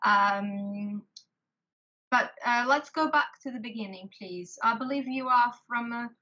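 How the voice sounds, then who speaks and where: A Portuguese woman with an accent, hosting a video call interview in English, talking haltingly with many restarts. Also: on the high side, sharp tone. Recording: lo-fi call audio.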